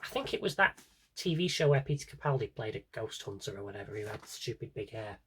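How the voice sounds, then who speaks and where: A man's voice: on the low side, big pitch swings, fast, fairly bright tone, slight background noise. A British man chatting casually and unscripted as he shows off a collection, rambling, correcting himself and throwing in dry, offhand humour. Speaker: video blogger showing an audio drama CD collection.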